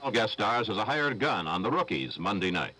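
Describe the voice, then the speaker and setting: A man's voice: fairly low pitch, big pitch swings, a little fast, pushed and bright, low-quality recording. A man announcing a scripted television programme promo. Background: music.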